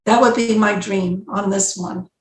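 Older american lady hosting a chat, talks slowly, echoey room on a video call. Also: medium pitch, steady tone. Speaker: host. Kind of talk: casual chat. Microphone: computer mic on video call. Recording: roomy echo on video call.

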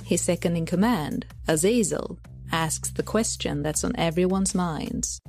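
A woman playing an otherworldly divine messenger who was once human, formal and deliberate, in an audio drama. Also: on the low side, vocal fry, a little mellow, soft background music. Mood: storytelling. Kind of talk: scripted audio drama monologue and narration.

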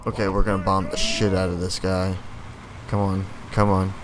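A man casually commentating his own strategy game as he plays, barking short orders at his units and swearing freely, his voice a bit worn and often clearing his throat. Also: deep voice, monotone, normal speed. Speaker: let's play host. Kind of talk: casual live gameplay commentary. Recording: noticeable background noise.

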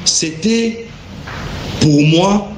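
A man telling a religious testimony in deliberate, emphatic, halting phrases. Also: high voice. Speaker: guest giving a religious testimony. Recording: echoey room with faint background noise.

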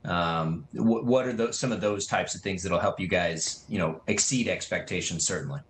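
A man's voice: sits low, normal speed, a little hiss or hum. A man reporter asking a coach questions at a media day, hesitating a little as he phrases them. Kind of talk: press question.

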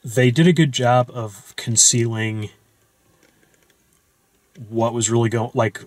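A man's voice: mid-range voice, fairly even intonation, slow pace, no echo. A young man chatting casually about a film in a parked car at night, talking loosely with many fillers and restarts. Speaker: movie discussion host.

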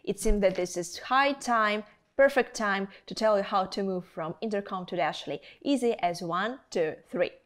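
Female presenter, young adult, mid-range pitch, for scripted promotional review addressed directly to viewers. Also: normal speed, a bit bright. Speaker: video presenter. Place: indoor studio with plain backdrop.

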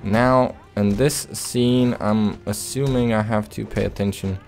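A man's voice: lowish voice, fairly even intonation, measured pace, darkish tone, faint music behind. A man doing casual gameplay commentary with a hesitant, rambling, playful delivery. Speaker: let's play host.

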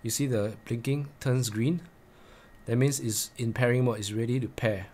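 A man's voice: medium pitch, steady tone, moderate pace, darkish tone. Man presenting a step-by-step tutorial. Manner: plain, instructional, repeats key points for emphasis. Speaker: presenter of motorcycle gear tips.

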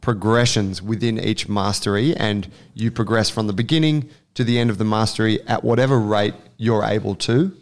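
A man's voice: fairly low pitch, normal speed, hardly any pauses. A man co-hosting a casual podcast, blunt and wry, swearing casually. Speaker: podcast co-host.

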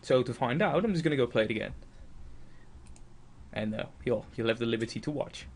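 Rambling Dutch-accented young gamer. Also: male voice, mid-range voice, animated, a little fast, noisy background. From Netherlands. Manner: rambling, self-mocking, many fillers. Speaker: let's play host. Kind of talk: casual game commentary. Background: video game music.

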